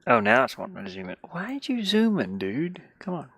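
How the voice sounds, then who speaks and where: A man's voice: sits high, huge pitch swings, fairly quick, gravelly, fairly bright tone, breathy edge, no room sound, clean studio sound. A man chatting casually and informally while he draws on a tablet, rambling and often muttering half to himself. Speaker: livestreamer drawing on an art tablet. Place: inside a metal van lined with insulation.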